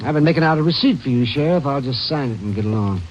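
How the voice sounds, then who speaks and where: A man's voice: mid-range voice, lively intonation, fast talker, close to an everyday voice. An actor playing an obliging, businesslike police lab man in old-time radio crime drama style. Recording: dull, noisy vintage radio recording.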